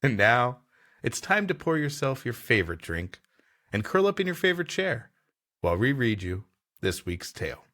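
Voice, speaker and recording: A man's voice: mid-range voice, sing-song, moderate pace, sharp tone. A man co-hosting a comedy podcast, bantering with his partner and reading stories aloud playfully. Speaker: podcast co-host.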